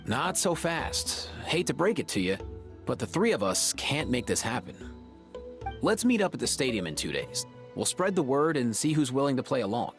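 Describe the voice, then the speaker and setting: A man's voice: mid-range voice, very animated, normal speed, music in the background. An actor playing a laid-back, friendly young man who knows creatures well, talking casually and slangily in a video game. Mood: matter-of-fact.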